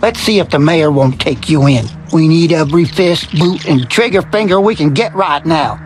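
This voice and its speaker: Man acting a salty, high-pitched frontier fighter. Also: normal speed, soft background music, close to an everyday voice. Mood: hearty, blunt. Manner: folksy, salty slang, blunt. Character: gruff, cocky old frontier militiaman, folksy and threatening. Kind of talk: video game voice acting.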